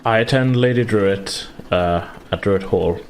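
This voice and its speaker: A man's voice: lowish voice, measured pace. A man voicing an aloof, haughty butler in a tabletop game, curt, formal and dismissive. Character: aloof, haughty male butler to a noble lady. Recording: clear background noise, room ambience.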